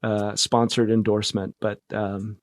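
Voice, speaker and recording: A man in his forties chatting casually as a podcast guest, low-key and attentive. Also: little pitch movement, unhurried, dry recording, studio quality. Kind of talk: casual conversation.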